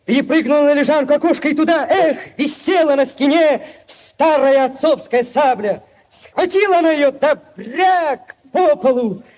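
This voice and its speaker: An actor playing an ardent young village lad telling a legend theatrically in a 1940s radio play. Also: male voice, very high-pitched, normal speed, few pauses. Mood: dramatic, urgent. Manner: theatrical, expressive storytelling. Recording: 1940s radio recording with music.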